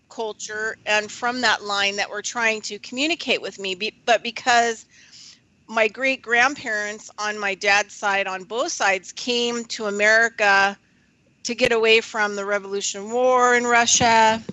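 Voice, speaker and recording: A woman hosting a radio show, talking reflectively and hesitantly with many pauses. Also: on the high side, pushed and bright, no room sound, poor audio.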